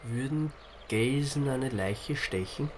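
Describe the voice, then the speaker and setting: A man acts a male amateur sleuth in a radio drama, speaking. The voice sits at a medium pitch and is laconic and hesitant. There is slight background noise. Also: slow pace, natural voice. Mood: puzzled, pondering.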